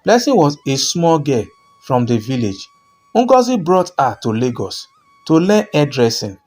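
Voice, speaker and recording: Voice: medium pitch, big pitch swings, a little slow, darkish tone. A narrator telling a scripted folktale in a dramatic storytelling style.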